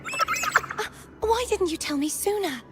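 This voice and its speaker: A woman's voice: extremely high pitch, wildly swooping pitch, slow pace, full character voice. A woman voicing a composed, earnest young guide with powers in a video game. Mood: startled. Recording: game music behind the voice.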